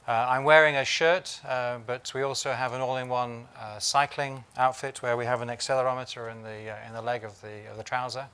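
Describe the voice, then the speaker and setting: A man with a smooth, resonant voice walks a conference audience through the technical details of a product. His pitch sits in the middle, and he fills his pauses with "uh". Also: little pitch movement, a bit bright. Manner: technical, with frequent "uh" fillers. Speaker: conference presenter. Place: conference stage.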